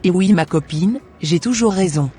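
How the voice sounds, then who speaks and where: A man's voice: high-pitched, moderate pace, mellow, breathy edge. A man voicing a self-assured, teasing young woman friend in a cartoon. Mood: cocky. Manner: cocky, teasing, confident.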